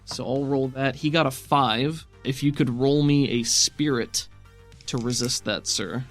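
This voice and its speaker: A man's voice: mid-range voice, unhurried. A man working as game master, narrating a tabletop roleplaying game and explaining the rules instructively. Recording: music under the voice. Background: background music.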